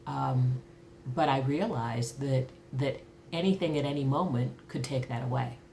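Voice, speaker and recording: A woman in her early fifties reflecting candidly on her own life in a thoughtful, halting way, as in a personal interview. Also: a bass voice, gravelly, dark tone, slight background noise. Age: early 50s. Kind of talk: reflective personal talk to camera.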